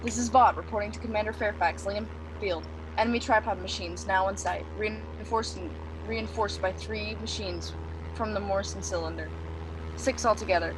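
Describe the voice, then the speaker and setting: A woman's voice: medium pitch, fairly even intonation, moderate pace, noisy background, lightly acted. A woman playing a grave military bomber pilot, giving terse radio reports in a radio play. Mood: grave, controlled. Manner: terse, telegraphic. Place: outdoors beside a wooden building.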